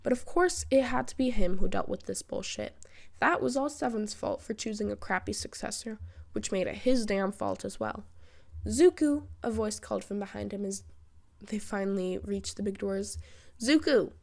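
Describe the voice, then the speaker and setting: A woman reading fan fiction as a narrator, in an expressive, wry storytelling style. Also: medium pitch, moderate pace, gravelly. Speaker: podfic narrator.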